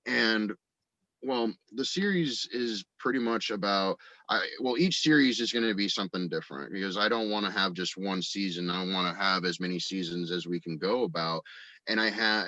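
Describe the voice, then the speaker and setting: A man's voice: deep voice, bright, forceful tone, dry recording, poor audio. A man chatting casually as an interview guest, rambling enthusiastically about his creative work. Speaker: guest, audio drama writer and voice actor.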